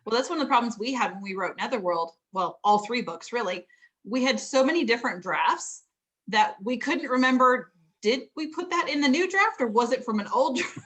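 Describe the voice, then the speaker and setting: A middle-aged woman, a fantasy author, chatting casually about writing, laughing often and filling pauses with ums. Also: a little high, lively intonation, fairly bright tone.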